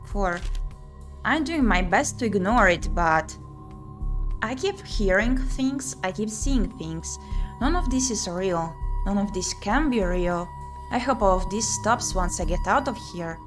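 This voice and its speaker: A woman streamer reading a visual novel aloud in an expressive, theatrical way as she plays through it. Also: medium pitch, a little slow, over music. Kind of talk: expressive read-aloud of visual novel text with playthrough commentary.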